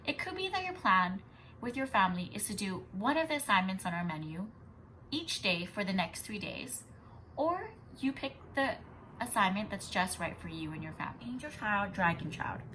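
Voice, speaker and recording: A woman with a bright voice reads aloud as a kindergarten teacher. Her storytelling is emphatic and instructive, made for young children. There is faint noise in the background. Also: medium pitch, sing-song, moderate pace, poor audio. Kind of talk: expressive children's read-aloud with explanations.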